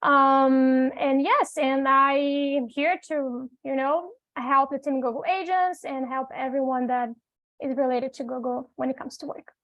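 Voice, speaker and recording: A woman with a high voice introduces herself and walks through her work in a friendly, plain way. The sound is dry and close, with no room around it. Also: fairly even intonation, a little slow, dry recording, dull audio. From Brazil. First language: Portuguese. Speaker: executive assistant. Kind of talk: self-introduction.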